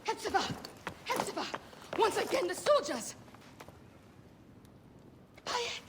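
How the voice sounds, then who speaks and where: A fussing, caring healer. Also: female voice, extremely high pitch, very animated, background noise, heavily acted. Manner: fussing, scolding gently, unhurried. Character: caring motherly woman who tends wounds. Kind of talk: video game cutscene.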